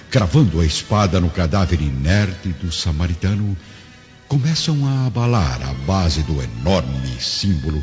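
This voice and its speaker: Very deep, theatrical male narrator. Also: lo-fi sound. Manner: solemn, theatrical, emphatic. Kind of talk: dramatic scripted radio drama narration. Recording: band-limited sound, loud music underneath.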